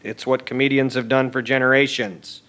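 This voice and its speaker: An actor playing a self-important, offhand stand-up comedy instructor in a sitcom podcast. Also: male voice, mid-range voice, little pitch movement, normal speed, sharp tone, natural voice. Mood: smug. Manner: smug, offhand.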